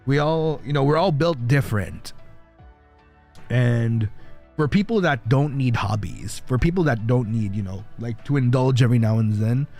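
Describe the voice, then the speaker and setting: A man's voice: mid-range voice, moderate pace, darkish tone. Male streamer, mid-range voice, rambling reassuring chatter over faint music. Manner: rambling, reassuring, laughs easily. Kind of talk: casual chat with viewers.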